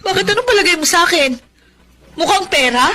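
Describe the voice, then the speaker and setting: A young Filipina caught between two men in a radio drama. Her voice is high, reproachful and earnest, with faint noise behind it. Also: animated, fairly quick, bright, forceful tone, full character voice. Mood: hurt, indignant.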